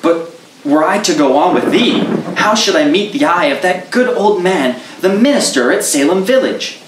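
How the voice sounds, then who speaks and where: A man's voice: sits high, animated, moderate pace, reverberant, recorded from a distance, background noise, voice pushed up. A man voicing an anxious, earnest young Puritan man. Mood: worried.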